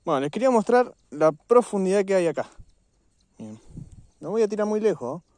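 A man's voice: high voice, very animated, normal speed, a little mellow, no echo. A man with an Argentine accent casually narrating an outdoor fishing vlog, chatting informally to his viewers. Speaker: fishing vlogger. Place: outdoors on a riverbank.

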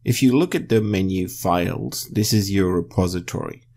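A man presenting a software tutorial as screencast narration, explaining step by step in a measured way. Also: low-pitched, gravelly.

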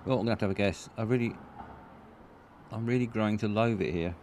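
A very deep-voiced man talks through a live stream in a loose, rambling way. His humour is dry and self-mocking, and he reads out comments from chat as he goes. A faint hiss of noise sits under his voice. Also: fast talker, darkish tone.

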